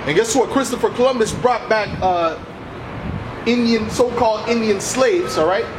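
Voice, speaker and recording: A man's voice: sits high, moderate pace. A man preaching on the street in a confrontational, insistent, accusatory way, punctuating his points with repeated tags like "man" and "all right". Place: outdoors on an urban street. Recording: very loud outdoor city traffic noise.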